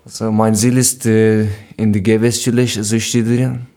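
A seventeen-year-old young man from Afghanistan, a podcast guest, speaking haltingly with frequent fillers. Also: fairly low pitch, little pitch movement, mellow. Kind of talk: casual podcast interview.

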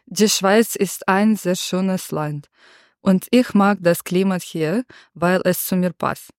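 A woman, hosting a student podcast in slow, careful learner's German with frequent pauses. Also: on the low side, darkish tone, no room sound, clean studio sound. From Ukraine. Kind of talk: school podcast interview.

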